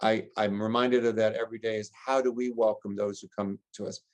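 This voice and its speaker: An American man, a middle-aged priest, giving earnest, gentle interview answers and listing ideas in long chains. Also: lowish voice, little pitch movement, a little fast. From United States. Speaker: priest and rector, interview guest.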